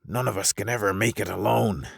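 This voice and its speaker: A man's voice: fairly low pitch, fairly even intonation, brisk, vocal fry, husky, no echo, clean studio sound, pitched above the performer's own voice, full character voice. A man voicing a stubborn, desperate spaceman afraid of being alone, agitated and pleading, in a science fiction audiobook.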